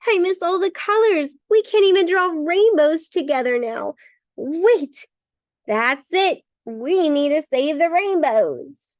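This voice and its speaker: A woman voicing small, excitable talking crayons in a children's storybook, exclamatory and melodramatic. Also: very high-pitched, slow pace, no room sound, studio quality, pitched above the performer's own voice, full character voice. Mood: wistful, then determined.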